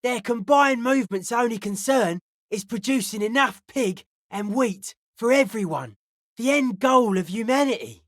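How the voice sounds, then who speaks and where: A deadpan, suspicious young raver, dry studio sound. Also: female voice, a little high, normal speed, dry recording. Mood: aloof, cryptic. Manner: deadpan, clipped, cryptic pronouncements. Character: suspicious, aloof young hardcore raver with cryptic theories. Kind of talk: video game character dialogue.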